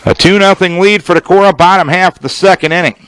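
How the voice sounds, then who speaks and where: A man with an American accent giving live baseball play-by-play on the radio, in measured, descriptive phrases with pauses between them. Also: high-pitched, fairly even intonation, a bit bright, smooth. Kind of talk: live sports play-by-play commentary.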